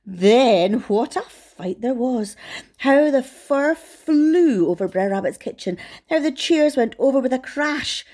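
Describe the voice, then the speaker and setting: Woman reading kids stories, soft mellow voice, super animated, talks sweetly to little listeners. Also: high-pitched, slow pace. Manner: affectionate, addresses young listeners directly. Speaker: storyteller. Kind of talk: expressive children's story reading.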